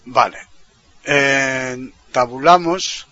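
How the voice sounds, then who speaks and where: A man from Spain talks listeners through software step by step in an informal, explanatory way. He often rounds off a phrase with a short questioning tag. The sound is dull and lo-fi. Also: medium pitch, extremely slow. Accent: Spanish from Spain. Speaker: tutorial presenter. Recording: dull, lo-fi computer microphone recording.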